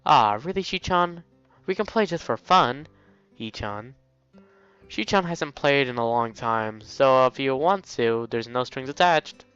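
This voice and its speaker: A man's voice: medium pitch, animated, unhurried, fairly bright tone, smooth, dry recording. Bubbly visual novel schoolgirl, male reader, teasing and exaggerated, muffled recording with music underneath. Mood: coaxing. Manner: cheerful, exaggerated. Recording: dull, lo-fi mic.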